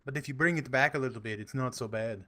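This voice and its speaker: A man's voice: mid-range voice, fast, a bit bright, no room sound, clean studio sound. A man co-hosting a casual podcast, joking and boasting crudely. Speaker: podcast co-host, musician.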